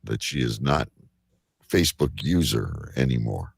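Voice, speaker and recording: A man hosting a live online show in casual chat, joking and teasing with a halting delivery. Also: very deep voice, expressive, gravelly, slightly rough, no room sound, clean studio sound.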